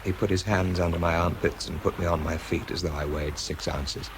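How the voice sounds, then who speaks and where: British male actor as a worn-out, introspective wartime expert; very low voice, understated radio drama delivery, noisy recording. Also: steady tone, a little fast, lightly acted. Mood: weary, reflective. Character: exhausted, self-doubting man, a wartime bomb disposal expert. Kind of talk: British radio drama, first-person narration and dialogue.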